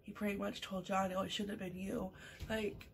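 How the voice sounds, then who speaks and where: A woman's voice: mid-range voice, flat delivery, a little fast, gravelly, slight background noise. A woman in her thirties from Canada, chatting casually to her webcam over morning coffee at home, rambling with lots of fillers and restarts. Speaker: vlogger. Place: small home room indoors.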